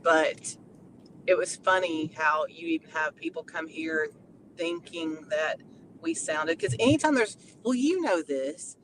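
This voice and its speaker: A woman's voice: deep voice, unhurried, noisy background. Chatty Tennessee-accented woman. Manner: chatty, animated storyteller. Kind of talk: casual chat.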